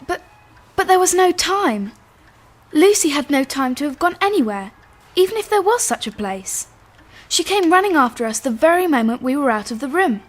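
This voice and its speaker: A woman's voice: high-pitched, sing-song, normal speed. An actress playing a sensible, anxious schoolgirl, earnest, polite and a little hesitant, in a radio drama. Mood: worried. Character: anxious, sensible, well-mannered schoolgirl, older sister.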